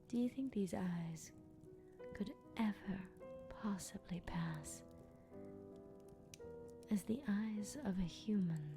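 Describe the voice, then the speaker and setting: A woman acts out a predatory female vampire in an intimate ASMR roleplay. She speaks very deliberately and slowly, often sinking into a breathy whisper, teasing and commanding with cool menace. Faint music sits behind the voice. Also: medium pitch, pitched below the performer's own voice. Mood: bitter, intense.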